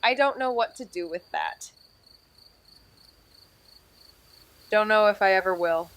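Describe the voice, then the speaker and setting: An impulsive young woman amateur detective in a noir audio drama, voiced by an actress. Her voice sits at a middle pitch, and she talks casually, with slang and a wry, irreverent edge. The acting is natural and conversational. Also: fairly quick, fairly bright tone, natural voice. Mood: subdued.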